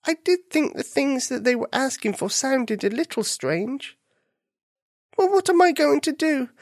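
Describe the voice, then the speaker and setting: Lady doing a little boy bunny for a storybook, really high and anxious, pleading and wailing. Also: animated, unhurried, a little mellow, no echo, clean studio sound. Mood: sheepish, worried. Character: small young male bunny, earnest, anxious, easily upset. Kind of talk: children's storybook character voice.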